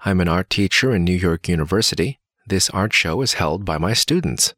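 A man reading lines of a scripted dialogue slowly and carefully, as in a listening test. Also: low-pitched, animated, a bit bright, no room sound, studio quality.

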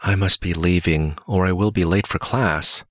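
A man reading lines of a scripted dialogue slowly and carefully, as in a listening test. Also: deep voice, a bit bright, no echo, clean studio sound.

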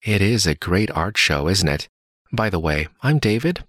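A man reading lines of a scripted dialogue slowly and carefully, as in a listening test. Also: low voice, very animated, a bit bright, slight background noise.

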